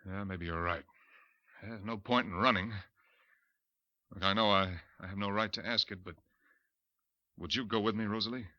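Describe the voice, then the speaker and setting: A man's voice: lowish voice, fairly even intonation, normal speed, long pauses, sharp tone, no echo. An actor playing a frightened young man in a 1940s radio drama, anxious and stammering over his words. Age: young adult. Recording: dull lo-fi vintage radio recording.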